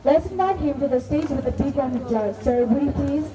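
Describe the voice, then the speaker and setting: A woman's voice: sits high, expressive, a little fast. A woman making a formal stage announcement as the host of an event. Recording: distant mic, music behind.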